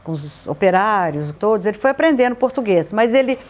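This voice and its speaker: A woman's voice: medium pitch, expressive, brisk. Woman recounting a relative's arrival. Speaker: interviewee. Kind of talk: casual recollection.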